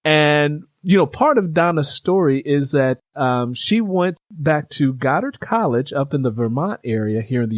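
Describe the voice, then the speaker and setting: A man's voice: mid-range voice, no room sound, clean studio sound. A middle-aged American man hosting a podcast and interviewing an author.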